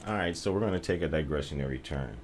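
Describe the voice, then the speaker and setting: A man hosting a casual interview, asking questions in a relaxed, playful way. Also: a bass voice, fast talker, slight background noise. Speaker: podcast host.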